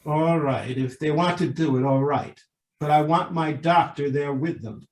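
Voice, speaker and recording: An actor playing a suspicious, grieving father in his seventies in a radio drama, gruff and halting. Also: mid-range voice, a little mellow, echoey room, lightly acted, rough recording. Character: seventy-five-year-old grieving, suspicious, blunt father.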